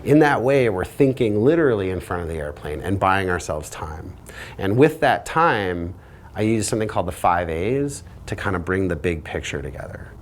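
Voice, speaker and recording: A man's voice: sits low, big pitch swings, normal speed, slight background noise. A man speaking as a flight instructor, explaining procedures in a teaching style. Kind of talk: explanatory lesson talk.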